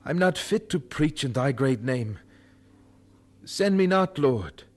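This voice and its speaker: A man's voice: mid-range voice, expressive, slow pace. A man playing an earnest, devout young preacher in an audio drama, with formal, humble speech. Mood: anguished prayer.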